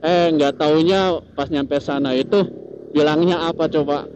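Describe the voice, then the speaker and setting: A man's voice: fairly high pitch, fairly even intonation, a little slow. A man, chattily telling a story to viewers in a casual motovlog, with repeated tag phrases. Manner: chatty, repetitive tag phrases, chuckles often. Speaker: motovlogger host. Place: outdoors, riding a motorcycle in traffic. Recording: muffled, loud vehicle and road noise.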